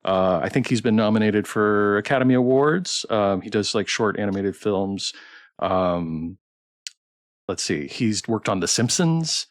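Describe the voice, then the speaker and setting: A man hosting a casual interview as a streamer, talking in a halting, self-interrupting and enthusiastic way. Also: lowish voice, animated, no room sound, clean studio sound.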